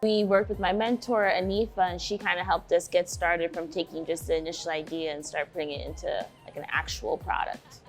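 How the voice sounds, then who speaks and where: A woman's voice: fairly low pitch, little pitch movement, brisk, few pauses, soft background music. A young woman from Nigeria, a shoe brand co-founder, answering interview questions in an earnest, emphatic way.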